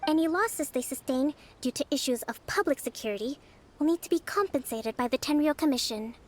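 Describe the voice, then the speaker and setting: A woman voicing a young female island leader in a video game, speaking in a measured, diplomatic and firm way. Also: high-pitched, animated, a little mellow, slight background noise. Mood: composed.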